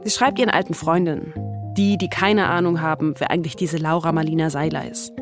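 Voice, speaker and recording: A woman's voice: deep voice, steady tone, a little fast, music in the background. A woman with a standard German accent delivering scripted narration as a podcast host.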